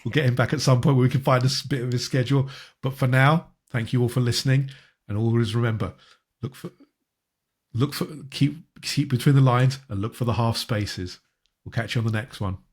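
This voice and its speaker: Man, husky mid-pitched voice, podcast host, casual football chat, halting repeated words. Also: fairly even intonation, a little mellow.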